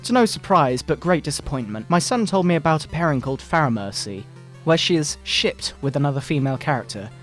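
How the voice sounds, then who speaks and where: A man voicing a pompous, sanctimonious fundamentalist preacher parent in a mock-dramatic sermon, with theatrical, drawn-out emphasis. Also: fairly high pitch, lively intonation, lightly acted. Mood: pompous outrage. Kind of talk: mock-dramatic reading of a moral-panic sermon. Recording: background video game music.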